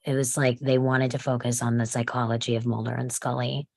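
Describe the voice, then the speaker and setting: Very low-voiced woman, explaining casually. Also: little pitch movement, a little fast, creaky, a little mellow, dry recording, studio quality. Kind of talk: casual group discussion.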